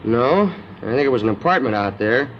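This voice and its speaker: An actor playing a nosy, working-class city cab driver who talks in a colloquial, folksy, inquisitive way in a 1950s radio drama. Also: male voice, mid-range voice, animated, fairly quick, close to an everyday voice. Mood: recalling, chatty. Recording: dull, hissy 1950s radio recording.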